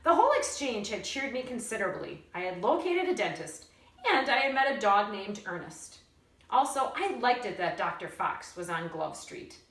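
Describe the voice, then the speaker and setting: A woman teacher reading a story aloud in an expressive storytelling style. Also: mid-range voice, normal speed. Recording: roomy echo, distant mic.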